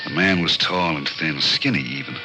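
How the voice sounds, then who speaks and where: Very deep hard-boiled narrator. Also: male voice, normal speed, poor audio. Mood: grim. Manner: terse, dramatic. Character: hard-boiled male crime story narrator, grimly cool. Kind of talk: scripted noir narration, 1940s radio. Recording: old radio recording under loud music.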